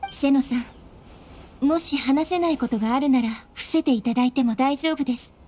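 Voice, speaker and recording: Actress as a high-voiced, gentle young guardian woman. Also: moderate pace, slight background noise, lightly acted. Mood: reassuring. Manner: polite, composed, soothing. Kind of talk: video game voice acting.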